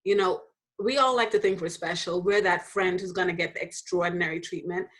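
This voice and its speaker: A woman hosting a casual faith conversation. She talks in a lively way, laughs often, and jumps into high voices when quoting people. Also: fairly quick. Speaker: host.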